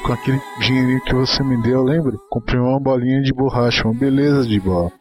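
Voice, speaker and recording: A man's voice: mid-range voice, fairly even intonation, fast talker, a little mellow, faint music behind, close to an everyday voice. Man acting eager orphan boy, raised voice. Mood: excited, affectionate. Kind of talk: dramatic reading of a short story.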